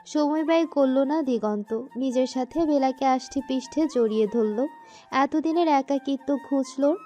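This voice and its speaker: A woman's voice: high voice, normal speed, warm tone, soft background music. A woman narrating a scripted romantic story.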